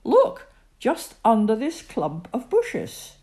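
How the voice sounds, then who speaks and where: A woman voicing a kind, sensible father teddy bear, gentle and reassuring in a children's storybook. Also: fairly high pitch, wildly swooping pitch, takes it slowly, voice pushed up, full character voice.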